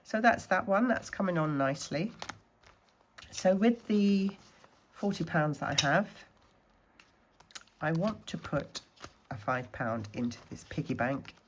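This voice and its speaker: A woman from the Scottish Highlands, a little snuffly from a cold, chatting casually through her budgeting challenges and counting money aloud. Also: lively intonation, unhurried, long pauses, a little hiss or hum. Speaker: budgeting vlogger.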